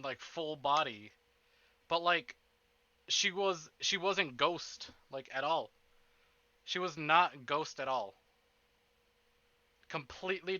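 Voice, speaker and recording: Bright-voiced man chatting on a livestream. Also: a little high, highs cut off. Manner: informal, rambling, with mild swearing. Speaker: livestream host. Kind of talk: casual livestream chat.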